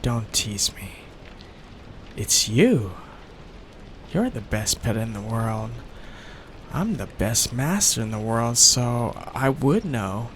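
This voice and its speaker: A man playing a soft-hearted young mafia heir in an intimate ASMR roleplay, tender and coaxing, hesitant and possessive as he seeks reassurance. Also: medium pitch, a little hiss or hum, full character voice.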